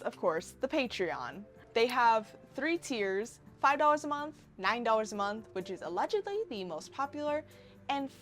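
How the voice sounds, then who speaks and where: A woman in her twenties narrating a casual scripted video essay commentary, wry and given to sarcastic asides. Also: a little high. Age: 20s.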